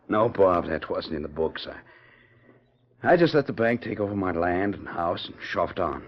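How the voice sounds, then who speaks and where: A man's voice: sits low, expressive, unhurried, pauses often. An actor playing an older, down-on-his-luck cattleman with a rural Western American accent, plain-spoken and resigned, in 1940s radio style. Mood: resigned, weary. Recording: muffled 1940s radio recording.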